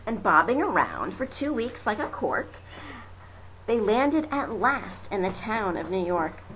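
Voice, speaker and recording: A woman reading children's verse aloud as an amateur storyteller, dramatic and deliberate in her delivery. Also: mid-range voice, very animated, fairly bright tone, rough recording. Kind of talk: expressive children's verse narration. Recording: faint noise, amateur home recording. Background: faint ambient noise.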